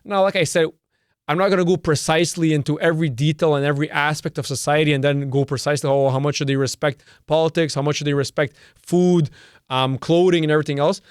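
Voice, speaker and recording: Man, Serbian mother tongue, fairly high voice, dry close sound, emphatic and repetitive, swears. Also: steady tone, fast, clean tone, dry recording. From Canada. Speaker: podcast host. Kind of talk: solo opinion monologue.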